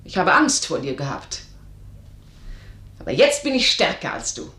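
A woman's voice: low-pitched, sing-song, moderate pace, a bit bright, a little hiss or hum. An actress in a German radio drama playing a passionate young woman, impatient and fiercely defiant. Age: young adult. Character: defiant, passionate young daughter of a strict household. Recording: roomy, echoing radio drama recording.

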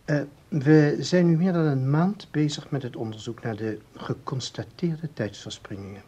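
An actor playing a calm researcher in a Dutch radio play, explaining formally and deliberately with small hesitations. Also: male voice, a little high, slightly rough, highs cut off. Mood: patient, explaining. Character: calm, explaining male researcher of time anomalies.

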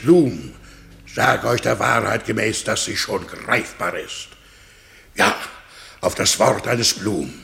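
Slow, gravelly, pompous political orator. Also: male voice, fairly low pitch, fairly bright tone, heavily acted. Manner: declamatory, solemn, pompous oratory. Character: grandiose, bombastic Irish everyman turned orator and ruler. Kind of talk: 1960s German radio play acting.

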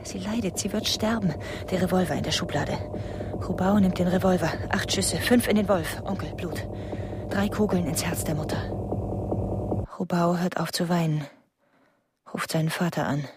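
An actress playing an anxious, contemptuous wife in a terse inner monologue for a radio play. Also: female voice, fairly low pitch, moderate pace, loud music behind the voice, natural voice. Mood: tense.

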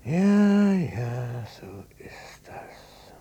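A man's voice: medium pitch, big pitch swings, very slow, mellow, husky, heavily acted. An actor playing a self-centred old father in a radio drama, grumbling, resigned and repetitive. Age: older. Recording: noisy cassette copy of radio play.

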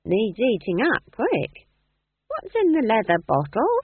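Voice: medium pitch, huge pitch swings, husky, no echo, voice pushed up, exaggerated voice. A narrator voicing a cheeky, shameless old witch woman from the country, blunt and chatty, drawing out the words. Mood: nosy, critical. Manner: conversational, blunt, drawn-out phrasing. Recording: muffled, thin phone-like sound.

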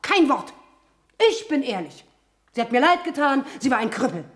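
An actress playing a bitter, spiteful sister in a radio crime play, sharp-tongued, insistent and scornful. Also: female voice, sits high, sing-song, normal speed.

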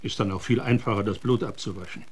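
A man's voice: fairly low pitch, quick pace, darkish tone, slight background noise, natural voice. A man playing a blunt, grim character in a German radio drama, matter-of-fact and sardonic.

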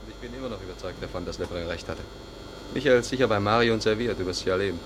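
An actor as a fast-talking flippant sidekick. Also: male voice, on the low side, background noise, close to an everyday voice. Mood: sceptical. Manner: wisecracking, brusque. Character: flippant, sceptical, reluctant sidekick man. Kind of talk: 1950s German radio play acting.

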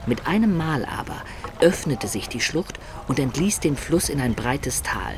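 An actor playing a loyal, observant companion who recounts a medieval campaign in measured, detailed radio drama narration. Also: male voice, mid-range voice, animated, noisy background, close to an everyday voice. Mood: measured storytelling.

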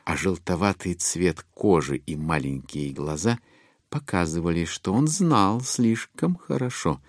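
A low-voiced man narrates literary prose for an audio drama. His wry delivery rises and falls expressively, with music playing clearly behind him. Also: a little mellow.

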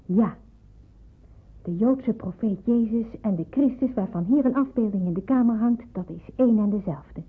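Vurige jonge Joodse onderduikster, hese stem. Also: female voice, on the high side, normal speed, husky. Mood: earnest, fervent. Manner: earnest, emphatic, pleading delivery. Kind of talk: Dutch radio play acting. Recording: muffled narrowband old radio play.

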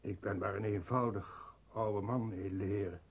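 An actor playing a humble, weary old peasant man in a radio drama, speaking slowly and meekly. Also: lowish voice, fairly even intonation, creaky, husky. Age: older.

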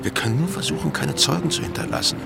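An actor playing a swaggering, teasing pirate captain in a radio drama, with a theatrical, taunting and menacing delivery. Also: male voice, on the high side, quick pace, creaky. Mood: cold, calculating. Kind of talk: German radio drama acting. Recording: studio radio play under loud music.

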